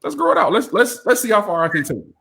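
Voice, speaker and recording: An animated man in his thirties banters on a casual panel show. His voice sits a little high and swings widely in pitch. He laughs often as he talks. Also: quick pace, vocal fry. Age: 30s. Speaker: co-host.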